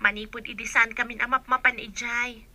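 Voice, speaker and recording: A woman acts as a young married woman with a high voice and an Ilocano Filipino accent. She is gentle and respectful, as in a family radio drama. The sound is close and dry. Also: level tone, fairly quick, no room sound.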